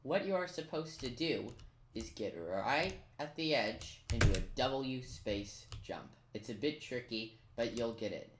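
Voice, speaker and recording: A chatty commentator talks through a video game guide in a casual, self-deprecating way, as if thinking aloud. The voice sits at a middle pitch. It is recorded in an echoey room with faint noise and keyboard clicking behind it. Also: animated, unhurried. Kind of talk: casual gameplay tutorial commentary. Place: indoors in a roomy-sounding room at a computer. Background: keyboard typing and clicks.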